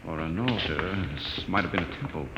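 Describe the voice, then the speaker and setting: An actor playing a musing, earnest expedition scientist fascinated by alien ruins in a 1950s radio drama. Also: male voice, sits low, expressive, brisk, over loud music. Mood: pondering. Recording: dull, faintly noisy 1950s radio recording.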